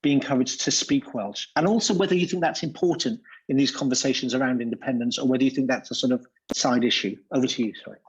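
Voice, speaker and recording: A man moderates a panel, framing long questions with halting repetitions. The sound is dull and muffled, lacking crisp highs. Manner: hesitant, repeats words often. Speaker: panel chair. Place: bookshop event room.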